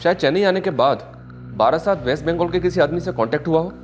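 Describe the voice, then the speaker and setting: An actor playing a dutiful police inspector who reports in brisk, businesslike tones. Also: male voice, on the high side, animated, faint music behind, lightly acted. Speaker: police officer.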